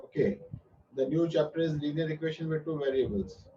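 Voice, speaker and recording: A man's voice: fairly high pitch, a little fast, warm tone. A man with an Indian English accent teaching a maths class online, patient and repeating his instructions, sometimes switching into Hindi. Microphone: video call. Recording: muffled distant online-class audio.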